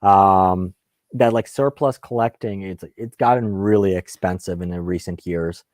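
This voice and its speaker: A man's voice: sits low, unhurried, darkish tone, no room sound. Guy livestreaming gun q&a, russian native speaker, kinda rambly and self-deprecating, dry close sound. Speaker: live stream host. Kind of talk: casual Q&A chat about guns.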